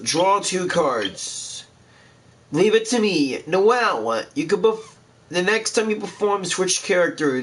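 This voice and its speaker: A man's voice: a little high, fairly bright tone, a little hiss or hum. A man reading game text aloud as he plays through a video game walkthrough. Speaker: game streamer.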